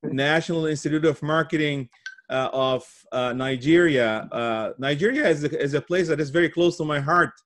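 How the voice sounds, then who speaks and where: Halting male presenter from Brazil. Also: a little high, a bit bright. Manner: halting, with frequent pauses and repeated words. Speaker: guest speaker giving opening remarks. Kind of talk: presentation at an online summit. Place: indoor office, on a video call. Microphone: video call.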